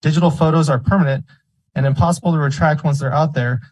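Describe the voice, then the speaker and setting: A man's voice: fairly high pitch, steady tone, fast, poor audio. Man giving indignant public testimony. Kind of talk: public comment speech at a meeting. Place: school board meeting room.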